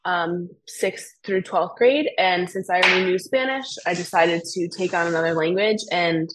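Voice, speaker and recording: A woman's voice: lowish voice, normal speed, heavy background noise. A young American woman chatting casually as a podcast guest and explaining things from her own life in a conversational way. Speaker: podcast guest, fitness coach.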